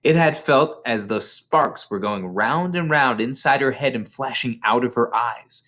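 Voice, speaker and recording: A man reading a children's story aloud in an expressive, dramatic storytelling manner. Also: medium pitch, a little slow. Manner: dramatic, animated storyteller delivery. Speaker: storybook reader.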